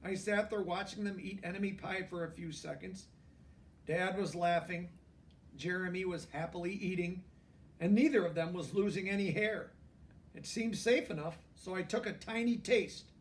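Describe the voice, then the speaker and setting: A man reading a children's book aloud in an expressive way, pausing for emphasis. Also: high-pitched, far from the mic. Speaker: storybook reader. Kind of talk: children's book read-aloud with brief asides.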